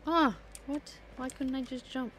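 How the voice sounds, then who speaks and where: A woman's voice: high-pitched, fairly even intonation, measured pace, a bit bright, slight background noise. A woman game streamer chatting casually over gameplay with rambling asides.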